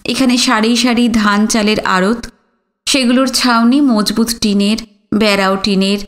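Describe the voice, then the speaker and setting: A woman storyteller reading a scripted literary narration for an audiobook. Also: fairly high pitch.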